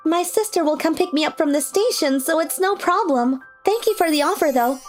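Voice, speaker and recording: A woman voicing a kind high school girl in a manga dub, warm, caring and chatty. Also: lively intonation, brisk. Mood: gentle caring. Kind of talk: manga dub voice acting and narration. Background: faint music.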